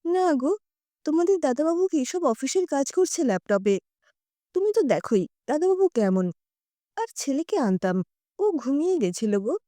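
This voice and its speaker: A woman's voice: sits high, very animated, normal speed, mellow, no room sound, studio quality. A woman narrator voicing a polite housewife who speaks in a familiar, placating and unhesitating way. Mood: smoothly apologetic. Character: polite middle-class housewife telling smooth excuses.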